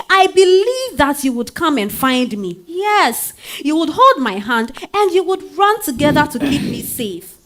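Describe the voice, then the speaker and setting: A woman's voice: high-pitched, exaggerated voice. A Ghanaian woman playing a spirited married woman telling her love story in a dramatized stage reading, with conversational interjections. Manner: expressive, conversational interjections like eh and hmm. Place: live literary festival stage reading.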